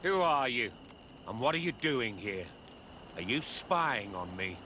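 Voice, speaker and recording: An actor playing a menacing, cruel inquisitor in a video game, with a hard, sneering manner. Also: male voice, medium pitch, big pitch swings, a little slow, bright, forceful tone, a little hiss or hum. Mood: suspicious, hostile. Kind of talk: video game cutscene dialogue.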